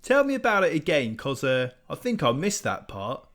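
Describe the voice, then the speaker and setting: A man voicing two bickering rat catchers, gruff and snappish. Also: fairly high pitch, huge pitch swings, moderate pace, fairly bright tone, voice pushed up. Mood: grumbling. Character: grumbling rat catcher, middle-aged man, surly.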